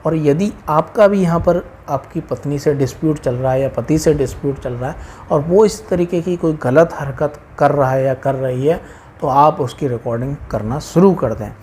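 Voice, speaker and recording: Indian accent, dark mellow voice, mid pitch, informal legal explainer, repetitive teaching manner, faint background noise. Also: normal speed. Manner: explanatory and repetitive, mixing in English legal terms.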